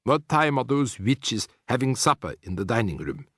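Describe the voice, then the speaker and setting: A man voicing a calm elderly grandmother who speaks softly and slowly in a storybook reading. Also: mid-range voice, no room sound, studio quality. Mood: tender. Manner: soft, tender, unhurried.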